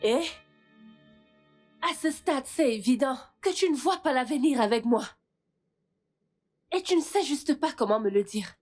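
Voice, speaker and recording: A woman's voice: sits high, lively intonation, measured pace, long pauses, a little mellow, soft background music. A woman in her thirties dubbing a bitter, reproachful girlfriend who accuses her partner. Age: 30s. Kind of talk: French dub of a film.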